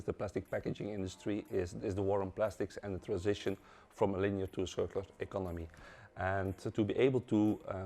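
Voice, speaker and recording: Low, mellow innovation head. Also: male voice. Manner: measured explainer with frequent uh fillers. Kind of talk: explaining to camera.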